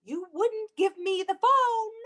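Actress as a high-pitched, unhinged obsessive young woman. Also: slow, fairly bright tone, full character voice. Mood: fierce, commanding. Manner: theatrical, breathless, intense. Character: obsessive, unhinged young woman convinced of her dark power. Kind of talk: melodramatic old-time radio play acting.

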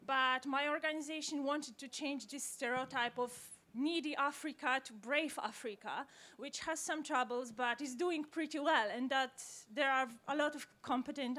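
A woman's voice: sits high, sharp tone. A woman from Poland, asking a long, earnest question from the audience and searching for her words.

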